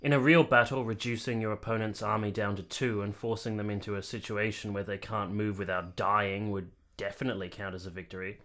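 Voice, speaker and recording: An actor playing an earnest, articulate army captain who has been to war, in a radio play. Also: male voice, lowish voice, little pitch movement, brisk, few pauses, fairly bright tone, close to an everyday voice. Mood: amused, explaining. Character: earnest, candid young army captain, reflective war veteran. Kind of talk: radio play narration and dialogue.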